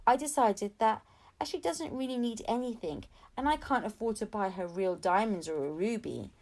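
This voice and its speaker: A woman's voice: medium pitch, normal speed, darkish tone. A woman reading a children's story aloud as an audiobook narrator. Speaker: audiobook reader.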